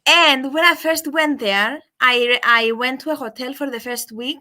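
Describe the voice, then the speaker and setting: A young woman from Cyprus, Greek her first language, speaking English in a bright, fairly high voice. She talks casually on a call, stopping and restarting often and filling gaps with "uh". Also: normal speed. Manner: hesitant, with many restarts and fillers. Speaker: caller.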